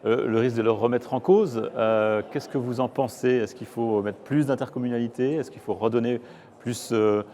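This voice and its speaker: A man's voice: medium pitch, steady tone, moderate pace, dark tone. A man interviewing, feeling his way through long questions with hesitant, roundabout phrasing.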